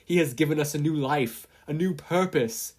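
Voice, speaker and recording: A performer voicing a booming, solemn giant robot. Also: moderate pace, a little mellow, natural voice. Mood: solemn, proclaiming.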